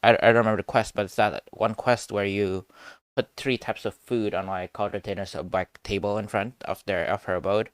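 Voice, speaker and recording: A man's voice: on the low side, fairly even intonation, fairly quick, clean tone, no echo. A man doing casual live reaction commentary as a game streamer, rambling and thinking aloud.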